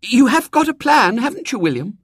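Man voicing an earnest, high-voiced little girl. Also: lively intonation, fairly quick, no room sound, studio quality. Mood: excited, anxious. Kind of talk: children's storybook character voice.

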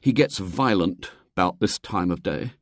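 A storyteller voicing a scheming, self-pitying young boy in an audiobook, halting and colloquial. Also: deep voice, animated, no room sound, clean studio sound. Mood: nervous, evasive. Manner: halting, mumbled hesitations, colloquial grammar.

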